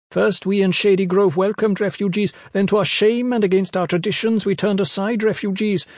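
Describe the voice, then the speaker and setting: A man puts on a high, feminine voice for a pygmy woman who leads exiles in a fantasy tale. She speaks formally and with stately gravity. The sound is dry, with no room around it. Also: a little mellow, no room sound. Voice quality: high-pitched.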